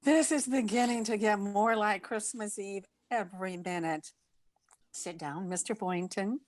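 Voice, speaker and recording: An actress playing a dry, wisecracking schoolteacher in an old-time radio comedy, with a deadpan manner. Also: female voice, mid-range voice, unhurried, no echo, natural voice. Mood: welcoming. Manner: deadpan, wry.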